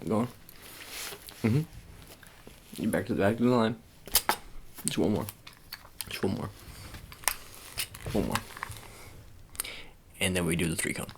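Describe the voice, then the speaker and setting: A man speaking softly with a gravelly voice in a casual ASMR roleplay, giving low-key, hesitant, repetitive instructions as an agent. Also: a little slow, long pauses, noisy background.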